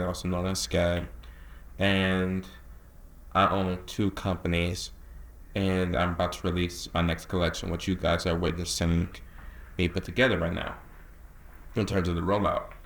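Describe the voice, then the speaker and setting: A man of nineteen talks casually and earnestly to camera as a creative director, drawling some words and rushing others. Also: deep voice, level tone. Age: young adult. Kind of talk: casual talking-head vlog. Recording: echoey room.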